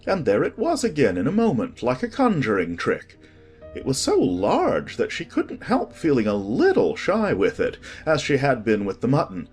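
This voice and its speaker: An audiobook narrator reading a children's story in a measured, expressive scripted style. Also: medium pitch, husky, faint music behind.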